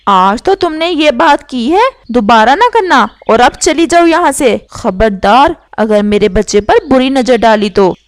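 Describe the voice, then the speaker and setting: A woman's voice: a little high, lively intonation, measured pace, few pauses, no echo. A woman voicing a protective, ailing mother kite bird, tender but firm, in an earnest, motherly manner for a children's cartoon story. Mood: stern.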